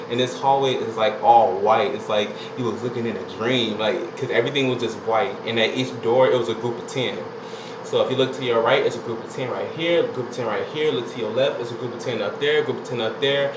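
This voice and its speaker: Chatty young man. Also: medium pitch. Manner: laid-back, chatty, lots of "you know" and "like". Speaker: vlogger. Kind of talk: casual storytelling vlog. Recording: echoey room with air conditioner hum.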